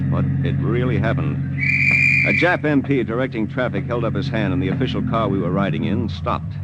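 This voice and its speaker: A muffled old radio recording with music behind it. A man plays a plain-spoken American lieutenant on a secret mission, his voice fairly low and uneasy, in period radio drama style. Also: lightly acted. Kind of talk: 1940s-50s radio drama acting and narration.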